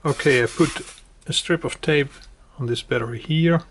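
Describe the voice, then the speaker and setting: A man presenting an informal hands-on do-it-yourself tutorial, explaining step by step in a halting way and repeating his instructions. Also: on the high side, fairly bright tone. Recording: clearly noisy home recording with clicks.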